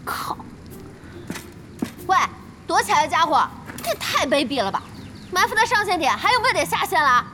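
An actress playing a feisty, hot-tempered young woman gamer in an audio drama, outspoken and quick to exclaim. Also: very high voice, big pitch swings, background noise. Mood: outraged.

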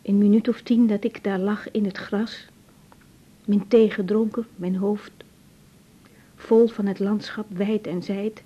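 Actress as hushed young woman doctor, slightly muffled. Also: fairly even intonation, measured pace, close to an everyday voice. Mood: solemn reciting. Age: young adult. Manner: hushed, hesitant, tender. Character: compassionate, troubled young female doctor. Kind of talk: naturalistic 1980s radio drama. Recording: dull, muffled tape with faint rumble.

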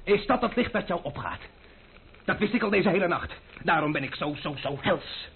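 A man's voice: high voice, animated, normal speed, vocal fry. An actor playing a lovesick, bitter, proud man over his wine in a radio drama, agitated and rambling. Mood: indignant. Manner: agitated, rambling, repeating himself. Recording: noisy, narrow-band old radio recording.

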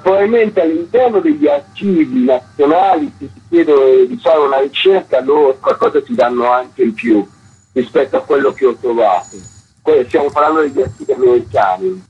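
A man with a raspy, creaky voice explaining his work as a curator being interviewed. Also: medium pitch, lively intonation, moderate pace. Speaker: photography exhibition curator interviewed as guest. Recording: dull, lo-fi line.

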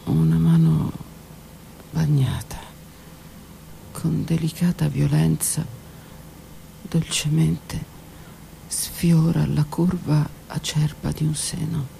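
A woman performing a theatrical one-woman monologue, delivered dramatically, moving between loud outbursts and hushed whispers. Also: deep voice, slow, vocal fry, pauses often, slight background noise, rough recording. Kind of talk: theatrical monologue broadcast on radio.

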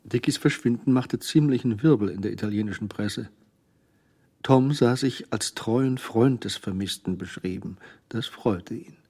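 An actor narrating a radio crime drama in a cool, sly, scripted manner. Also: male voice, fairly low pitch, unhurried, darkish tone, breathy edge. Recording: studio radio play with music.

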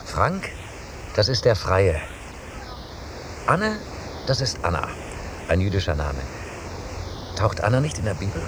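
An actor playing an inquisitive man who questions and explains in a deliberate, didactic way in a radio drama. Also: lowish voice, huge pitch swings, noisy background. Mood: curious.

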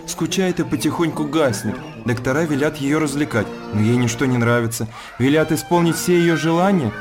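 A man's voice: on the high side, close to an everyday voice. An actor playing a devoted, anxious father in a radio play, speaking earnestly and tenderly. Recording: music under the voice.

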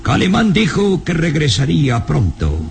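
An actor playing an anxious, bossy elderly professor in a radio drama, with nervous hesitations and stern warnings. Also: male voice, fairly high pitch, huge pitch swings, normal speed, vocal fry, faint music behind, heavily acted. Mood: fearful, suspicious. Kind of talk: radionovela acting.

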